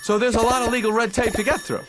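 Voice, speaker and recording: A man's voice: very high-pitched, little pitch movement, quick pace, low-quality recording. A man playing an earnest, wisecracking young bee hero in a cartoon video game. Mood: chatty, skeptical. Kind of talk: animated video game cutscene acting. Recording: loud music with jingling behind.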